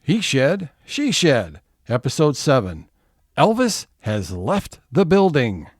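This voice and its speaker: A man's voice: medium pitch, wildly swooping pitch, slow, no room sound, studio quality. A man narrating a scripted comedy serial as its announcer.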